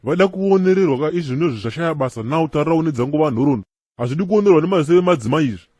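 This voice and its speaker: A man's voice: a little high, dry recording, clean studio sound. An actor voicing a chatty Zimbabwean cartoon church member who argues and laughs as he talks. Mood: lively. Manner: fast, excitable, argumentative and laughing. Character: chatty adult male apostolic church member. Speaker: cartoon character, Chikombera. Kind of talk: comic cartoon dialogue.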